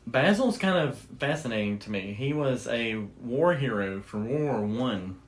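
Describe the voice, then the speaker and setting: A man's voice: medium pitch, expressive, moderate pace, rough recording. A man co-hosting a show, explaining things in a casual, enthusiastic and informal storytelling way. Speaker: podcast co-host.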